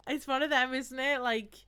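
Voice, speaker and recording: Woman streamer chatting slow and easy, highish bright voice, lots of likes and ums, faint music under it. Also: smooth. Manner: chatty, laughs often, many fillers. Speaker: streamer host. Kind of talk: casual chat on a live stream.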